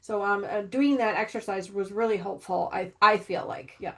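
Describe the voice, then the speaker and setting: A woman guest on a livestream talking reflectively and haltingly, with many restarts. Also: mid-range voice. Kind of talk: casual chat. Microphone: livestream mic.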